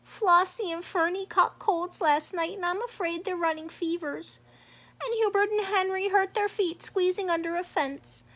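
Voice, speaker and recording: A woman's voice: extremely high pitch, steady tone, moderate pace, fairly bright tone, voice pushed up. A woman voicing a fretful, dutiful female rabbit in a children's storybook. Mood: worried. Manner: worried, fussing.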